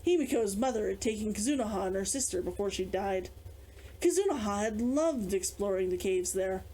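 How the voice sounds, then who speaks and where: A woman reading a fantasy novel aloud as its author, with expressive storytelling. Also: medium pitch, a little fast, low-quality recording. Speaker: author reading own book.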